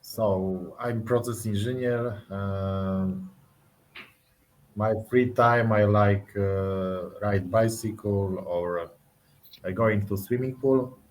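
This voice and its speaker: Slow, low-voiced man from Poland. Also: steady tone, a little hiss or hum. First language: Polish. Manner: hesitant, halting learner English. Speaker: student. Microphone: video call.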